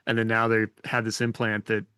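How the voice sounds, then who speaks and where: A man's voice: fairly low pitch, monotone, brisk, pushed and bright, clear, resonant tone, dry recording, studio quality. A man in casual podcast discussion, tentative and hedging as he thinks aloud as a co-host.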